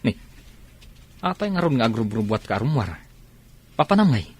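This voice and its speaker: A man's voice: medium pitch, sing-song, moderate pace, husky. A man with a Filipino Ilocano accent playing a loyal young soldier in a radio drama. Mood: matter-of-fact. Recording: radio drama with music behind.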